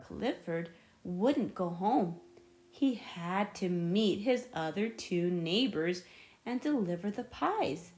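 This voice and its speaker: Animated, slow-paced woman reading a storybook. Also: mid-range voice, faint music behind. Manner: animated, dramatic build-ups. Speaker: storybook reader. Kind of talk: expressive children's read-aloud narration.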